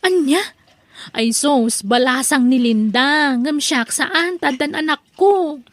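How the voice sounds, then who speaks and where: Incredulous, dismissive single mother played by a woman, Ilocano accent; high pitch, dry close sound, radio comedy. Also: takes it slowly, dry recording. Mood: rebuffing. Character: incredulous, rebuffing single mother being courted. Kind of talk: comedy radio drama acting.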